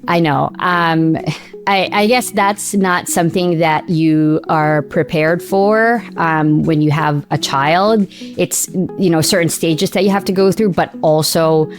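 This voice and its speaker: A woman's voice: deep voice, slow, soft background music. A woman in her thirties from the Philippines hosting a casual interview. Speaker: podcast host. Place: small home studio room, indoors. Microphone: close studio mic on boom arm.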